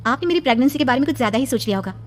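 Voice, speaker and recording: A woman's voice: high-pitched, expressive, rapid-fire, slight background noise. A woman voicing a polite, plaintive young pregnant woman in a horror cartoon story. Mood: reassuring. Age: young adult. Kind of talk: dramatic Hindi cartoon story dialogue.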